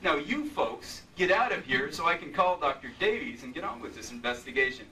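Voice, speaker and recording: An actor playing an officious, brusque young police sergeant who badgers people, in an old-time radio drama recorded live on stage. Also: male voice, a little high, animated, a little fast, sharp tone. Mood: brusque, irritated. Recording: distant live stage recording, faint noise.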